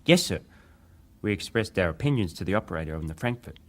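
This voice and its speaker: Low-voiced wireless operator. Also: male voice, animated, fast. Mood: defensive, firm. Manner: clipped, respectful, matter-of-fact. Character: young British ship's wireless operator, respectful but defensive witness. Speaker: witness testifying. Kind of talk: dramatised reading of hearing testimony.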